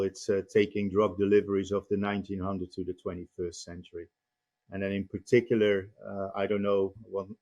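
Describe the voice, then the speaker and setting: Measured Dutch-accented man, low voice. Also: a little mellow. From Netherlands. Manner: measured, many "uh" pauses. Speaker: company CEO guest. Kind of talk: podcast interview.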